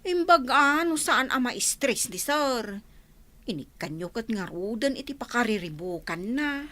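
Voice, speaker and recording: A woman's voice: a little high, animated, unhurried, sharp tone. A woman playing an outspoken, scolding house helper in a radio comedy drama, blunt and questioning. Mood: disapproving.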